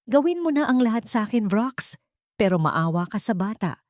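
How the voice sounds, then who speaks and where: Woman playing a wronged rich dad, proud, anguished, melodramatic, pitch jumps around. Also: big pitch swings, moderate pace, dry recording, clean studio sound, lightly acted. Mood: pleading. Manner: emotional, pleading or defiant. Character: proud wronged billionaire father, anguished and defiant. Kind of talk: melodramatic audio drama acting.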